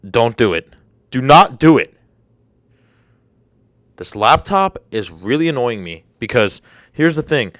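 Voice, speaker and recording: A man's voice: medium pitch, very animated, measured pace, long pauses, a bit bright, no room sound, clean studio sound. A young man in his twenties hosting a solo podcast, rambling and incredulous, with a slightly congested throat. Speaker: podcast host. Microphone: desk microphone.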